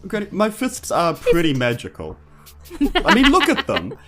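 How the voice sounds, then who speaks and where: A woman's voice: mid-range voice, wildly swooping pitch, moderate pace, fairly bright tone, soft background music. A woman playing a tabletop game, chatting casually in a teasing, playful way with the others. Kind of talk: casual joking game chat.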